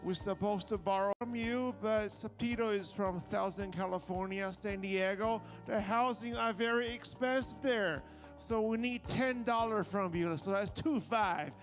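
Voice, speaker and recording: High-pitched male event host, slow delivery. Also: faint music behind. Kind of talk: live event hosting. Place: event stage with sound system.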